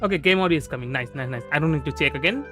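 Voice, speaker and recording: A male game streamer with an Indian accent, a native Bengali speaker. His voice is notably high for a man, and he rambles casually in halting bits over soft music. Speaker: livestreamer playing a game. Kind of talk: casual chat. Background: soft background music.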